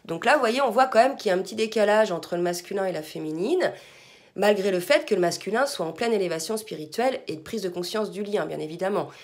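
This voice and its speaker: A hoarse woman giving a spiritual card reading to her viewers, soft-spoken, sometimes murmuring requests to her guides. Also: mid-range voice, expressive, quick pace. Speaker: tarot card reader.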